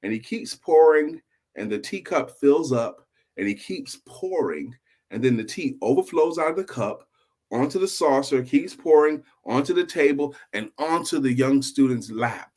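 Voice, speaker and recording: A man hosting a story show, telling a tale in a casual, animated, improvised way and repeating phrases for emphasis. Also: medium pitch, normal speed.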